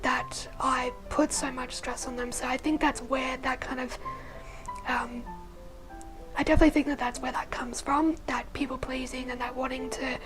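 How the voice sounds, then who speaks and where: A young woman with a husky, hoarse voice, casually telling her personal story. She rambles with lots of fillers and often drops to a soft, breathy near-whisper. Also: high voice, little pitch movement, moderate pace, rough recording. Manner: rambling, with many fillers like "like" and "you know". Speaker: vlogger sharing her life story. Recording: music playing underneath the voice.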